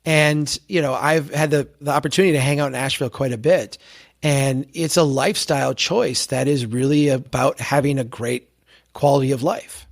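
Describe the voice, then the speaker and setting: A man's voice: medium pitch, fairly even intonation, moderate pace, vocal fry. An American man in his forties explaining his views earnestly and with conviction as an interview guest. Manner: earnest, opinionated, uses rhetorical contrasts. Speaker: podcast guest, advocate for towns.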